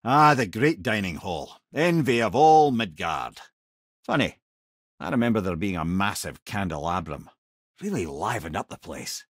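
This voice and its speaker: An actor playing a witty, learned old Scottish companion in a video game, telling lore with an eloquent, lilting, theatrical delivery and a husky edge. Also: male voice, lowish voice, a bit bright, no echo, studio quality, exaggerated voice. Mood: wry, companionable. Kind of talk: video game character dialogue and lore storytelling.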